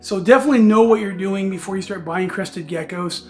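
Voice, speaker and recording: Hoarse host in his forties, raspy and rather high, loose casual delivery full of fillers and restarts. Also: a little fast, a little mellow, faint music behind. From United States. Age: 40s. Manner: rambling with many fillers and restarts. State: hoarse from allergies. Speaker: reptile channel host. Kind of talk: casual vlog talk.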